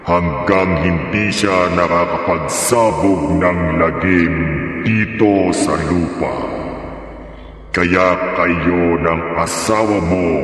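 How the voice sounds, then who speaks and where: A very low, slow-talking demon lord, grave and menacing, commands in horror radio drama style. The voice rings through a huge reverberant space over music. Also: male voice, fairly bright tone. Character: menacing male demon lord issuing deadly ultimatums. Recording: long cavernous reverb, music underneath.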